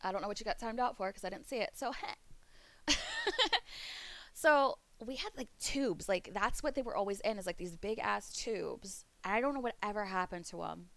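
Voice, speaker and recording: A woman streamer chatting casually on a live webcam stream, rambling, laughing often, and going hoarse as she loses her voice. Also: mid-range voice, big pitch swings, normal speed, a bit bright, dry recording. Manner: rambling, laughs often, reads chat aloud. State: losing her voice. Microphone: desk mic on a webcam stream.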